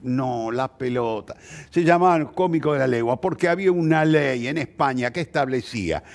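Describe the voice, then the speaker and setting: A man's voice: medium pitch, moderate pace, vocal fry. An older man with a Rioplatense Argentine accent, explaining things conversationally in an interview, emphatic and didactic, posing rhetorical questions. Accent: Argentine Rioplatense Spanish. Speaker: playwright interviewee.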